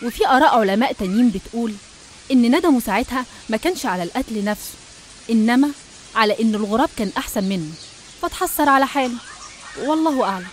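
An Egyptian woman tells a scripted religious story. She moves along quickly in a fairly high voice, with slight noise in the recording. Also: animated. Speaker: narrator.

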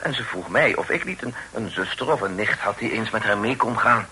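A humble, bright-voiced amateur poet. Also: male voice, fairly low pitch, expressive, fairly quick. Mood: earnest, courteous. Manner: deferential, wordy, genteel old-fashioned speech. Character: humble, effusively grateful amateur poet, Mr Helding. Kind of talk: period radio play acting. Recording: noisy old radio drama recording.

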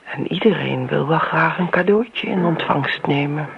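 Sardonic, creaky-voiced bitter daughter. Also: female voice, deep voice, measured pace. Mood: sarcastic, reproachful. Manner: dry, sarcastic, pointed. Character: bitter, sardonic grown daughter, coolly needling an older woman. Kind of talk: Dutch radio play acting. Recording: noisy, narrow-band old radio recording.

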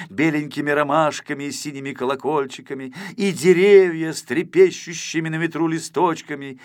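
A man narrating a children's story expressively as an audiobook reader. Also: medium pitch.

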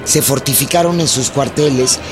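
A man's voice: mid-range voice, normal speed. A man delivering scripted, dramatic narration for a historical radio drama. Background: music under the voice.

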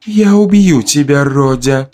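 A man voicing a bitter, menacing, jilted woman who taunts and reproaches slowly in a dramatic audio story. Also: medium pitch, expressive, darkish tone, faint music behind, pitched above the performer's own voice. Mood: cold threat.

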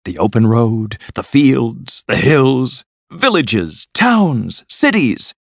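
A man voicing a boastful, excitable, rich toad from a storybook, gushing and exclaiming as he talks on. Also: lowish voice, slow, slightly rough, no room sound, studio quality, exaggerated voice. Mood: rapturous. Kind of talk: storybook character voice.

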